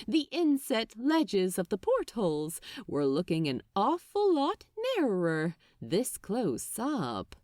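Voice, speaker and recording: Audiobook narrator, woman: expressive scripted fiction read, slightly slow, recorded close and dry. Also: a little high, no echo.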